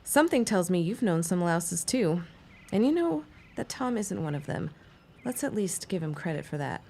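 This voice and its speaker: An actress playing a plainspoken, spirited young shopkeeper in a period audio drama, with a wry, forthright manner. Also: female voice, on the low side, big pitch swings, normal speed, vocal fry, darkish tone, slight background noise. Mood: defiant.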